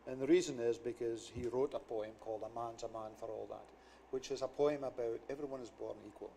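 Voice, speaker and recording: A Scottish man with a gravelly, dark voice, talking through history and little-known facts as a studio guest. His delivery is explanatory and anecdotal. A faint hiss sits under the recording. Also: mid-range voice, steady tone, fairly quick. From Scotland. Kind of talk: studio talk show interview.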